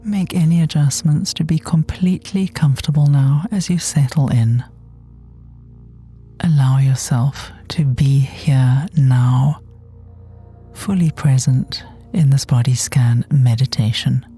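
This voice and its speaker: A woman leads a guided relaxation in a gentle, mellow voice. She is breathy and often slips toward a whisper. Her delivery is soothing and very unhurried, leaving long pauses between phrases. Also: extremely low pitch, fairly even intonation. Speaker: guided meditation teacher. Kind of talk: guided body scan meditation. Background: soft meditation music.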